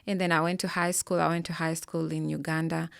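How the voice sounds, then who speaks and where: Woman, financial advisor guest, lowish voice, earnest, dry close sound. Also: flat delivery, brisk, vocal fry, clean tone, no room sound. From Kenya. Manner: earnest, explanatory, with frequent fillers. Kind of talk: conversational interview answers.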